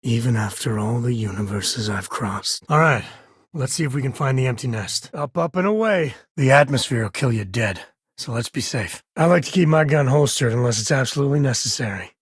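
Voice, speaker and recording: An actor playing a wry, easygoing space cowboy explorer in a video game, a man with a husky, raspy voice and folksy, laid-back phrasing. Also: medium pitch, expressive, brisk, dry recording, studio quality. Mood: conversational. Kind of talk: video game character voice lines.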